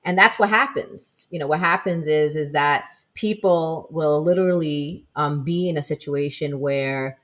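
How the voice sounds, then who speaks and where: A woman's voice: deep voice, measured pace, few pauses, a bit bright. A woman co-hosting a podcast, telling stories emphatically and posing rhetorical questions in casual political chat. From Palestinian American. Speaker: podcast co-host.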